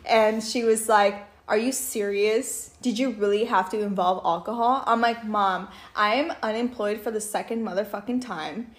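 A woman in her twenties chatting casually as a podcast host, slangy and profane, a little tipsy and quick to laugh. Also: medium pitch. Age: 20s. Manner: profane, animated storytelling with frequent laughter and slangy banter. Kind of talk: casual chat.

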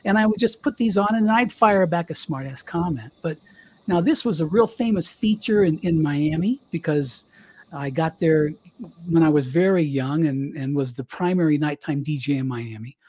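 An older man, a former radio DJ, telling stories in a chatty, animated way with a young-sounding voice. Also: a little high, moderate pace. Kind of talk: casual storytelling interview.

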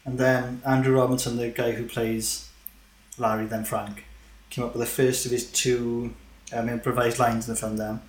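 A man with a mid-pitched voice co-hosts a casual podcast about a film. He sounds relaxed, agrees often and shares behind-the-scenes trivia. His voice carries a noticeable room echo. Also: moderate pace. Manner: laid-back, many "yeah" backchannels, shares film trivia. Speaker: podcast co-host.

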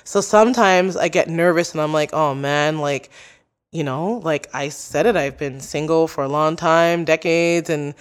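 A woman's voice: deep voice, unhurried, smooth. A young adult woman, a podcast host being interviewed, talking casually and openly with lots of "like" and "you know.". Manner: chatty, many "like" and "you know" fillers.

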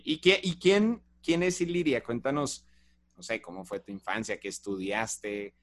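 A man hosting an interview podcast, greeting listeners warmly and asking questions with small fillers. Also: mid-range voice, measured pace. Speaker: podcast host.

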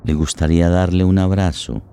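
A man's voice: low-pitched, brisk, warm tone, smooth, no echo. A man giving a dramatic scripted narration of a radio play. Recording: plucked guitar music under voice.

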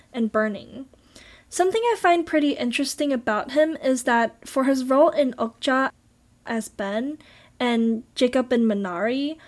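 High-pitched woman, scripted video essay read, steady and even. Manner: steady, read-aloud delivery.

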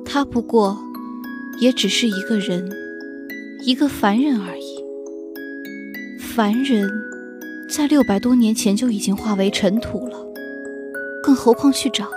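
A woman playing an ageless fox spirit in an audio drama, aloof and wistful, gently teasing with hidden fondness. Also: fairly high pitch, unhurried, pauses often, music in the background, lightly acted.

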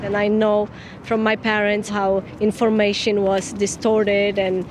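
A woman from Poland, speaking thoughtfully and haltingly in an outdoor interview. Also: little pitch movement. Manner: thoughtful, halting, restarts phrases. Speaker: visiting mother. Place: outdoors near a memorial, traffic. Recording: outdoor interview with clear traffic rumble.

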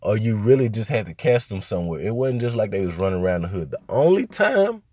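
A young man from Arkansas hosting a casual solo podcast, talking in a laid-back, rambling way with lots of fillers, his voice a little hoarse from a cold. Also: lowish voice, very animated, fast, darkish tone, dry recording, clean studio sound. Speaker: podcast host.

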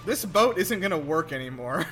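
A man's voice: fairly high pitch, animated, normal speed, over music. A man chatting casually as a co-host reacting to a film, jokey and amused, swearing freely. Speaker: podcast co-host. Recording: film soundtrack playing behind.

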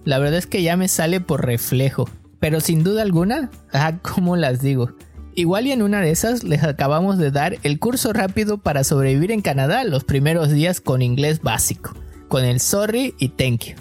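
A man with a Mexican coastal accent co-hosting a podcast, chatting casually and telling stories in a relaxed, slangy way. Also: fairly high pitch, normal speed, faint music behind. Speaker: podcast co-host.

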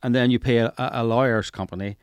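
A man's voice: mid-range voice, fairly even intonation, fast, smooth, no room sound. A middle-aged man, hosting a casual interview and repeating phrases for emphasis. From Northern Ireland. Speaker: interviewer, podcast host. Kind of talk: casual conversational interview talk.

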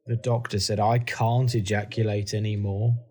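A man's voice: on the low side, level tone, moderate pace, darkish tone, lightly acted. A man playing a hapless, oversharing political staffer, hesitant and glum, in a comedy drama. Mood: earnest, meek. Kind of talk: comedy audio drama dialogue.

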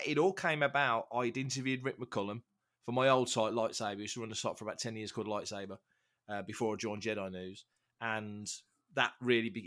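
A middle-aged man with a slightly rough, congested voice from a cold, telling anecdotes casually as a podcast guest. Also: lowish voice, fairly bright tone. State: getting over a cold, congested. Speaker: podcast guest, fan-site writer and interviewer.

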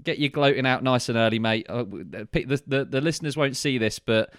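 A Southern English man of middle pitch co-hosting a podcast, chatty and rambling, leaning on "uh" as he goes. He laughs easily. His voice comes through a video call and sounds dry and close, with no room in it. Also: brisk, no room sound. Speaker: podcast co-host. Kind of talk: casual sports podcast chat.

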